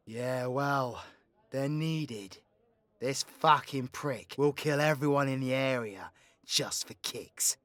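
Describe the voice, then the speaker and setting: Man playing a bright-voiced, sardonic British supervillain. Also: mid-range voice, slow pace, no echo. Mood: wry. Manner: sweary, mocking, casual slang. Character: foul-mouthed, sardonic, irreverent male supervillain. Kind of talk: audio roleplay drama acting.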